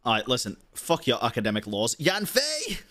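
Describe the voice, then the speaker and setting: A woman's voice: a bass voice, wildly swooping pitch, moderate pace, fairly bright tone. A woman giving casual, blunt, slangy and sweary reaction commentary as a game streamer.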